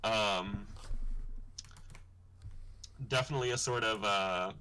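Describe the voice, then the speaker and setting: A young man with a bright, forceful tone talks casually on his livestream. He explains his art in a thoughtful, rambling way, with plenty of fillers. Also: slow pace, clear, resonant tone. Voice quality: deep voice. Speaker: comic artist hosting his launch stream.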